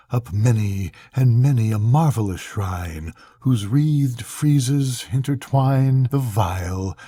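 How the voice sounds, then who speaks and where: Older man, husky, slow poetry reading. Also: fairly low pitch, warm tone. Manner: measured, solemn, with pauses between phrases. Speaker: grandfather reading bedtime poems to grandchildren.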